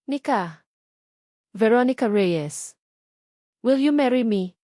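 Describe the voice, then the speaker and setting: A man's voice: very high voice, lively intonation, slow, mellow, dry recording, clean studio sound, full character voice. A woman voicing an earnest, remorseful man pleading with his lost love in a narrated love story.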